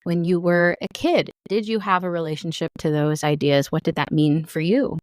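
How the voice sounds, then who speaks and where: A woman's voice: fairly low pitch, steady tone, normal speed, no room sound. A woman hosting a podcast, introducing her guest and chatting enthusiastically, with frequent "um" fillers. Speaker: podcast host. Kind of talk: podcast intro and interview chat.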